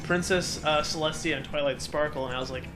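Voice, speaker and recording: A man giving casual, animated commentary as he plays a video game with a friend, joking and slipping into mock voices. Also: fairly high pitch, fairly quick, background noise. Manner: animated, joking, breaks into mock voices and sung sound effects. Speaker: let's play host and player. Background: video game music.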